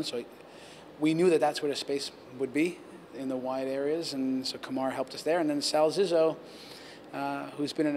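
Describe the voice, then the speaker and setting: A man in his forties, a head coach answering post-game questions at reporters' handheld mics, talking in a measured, earnest way with many restarts. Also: mid-range voice, a little hiss or hum. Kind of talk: post-game press interview. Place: press backdrop area, indoors. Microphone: handheld reporter mics held close.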